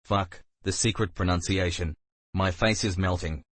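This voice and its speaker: A man reading online forum comments aloud in a deadpan way. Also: low-pitched, normal speed, no room sound. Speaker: comment reader.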